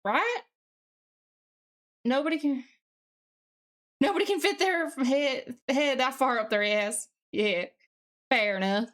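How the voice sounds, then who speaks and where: A woman's voice: high voice, slow, pauses often, fairly bright tone. A woman with a put-on Southern US accent, chatting casually as a live streamer in a folksy, mildly sweary way while working at a computer.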